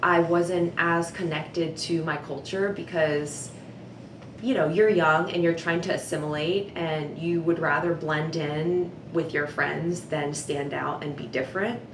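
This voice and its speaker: A young woman with a notably low voice shares personal stories in an earnest, reflective tone. Her words are loosened by frequent "like" and "um." The sound is roomy and echoey, with a low rumble running behind her. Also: steady tone, unhurried. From United States. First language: Vietnamese. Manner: earnest and reflective, many "like" and "um" fillers. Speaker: communications director. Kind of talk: personal storytelling to camera.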